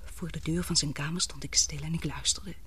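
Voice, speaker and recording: An actress playing an anxious but brave, tender young woman in a radio drama, with breathy, emotional, hesitant delivery. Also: low-pitched, fairly even intonation, fairly quick, sharp tone, dry recording, full character voice. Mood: tense, confiding.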